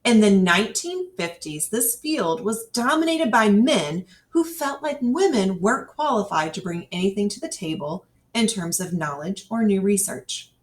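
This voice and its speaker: A woman reads an educational nonfiction text aloud as a scripted narration, with careful, clearly phrased delivery. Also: mid-range voice, expressive, moderate pace, few pauses. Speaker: reader. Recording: distant microphone.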